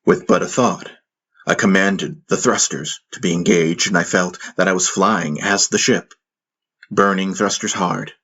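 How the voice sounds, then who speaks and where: A man narrating a fantasy audiobook in the first person, with solemn, dramatic storytelling and a husky voice. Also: deep voice, fairly even intonation, unhurried, pushed and bright.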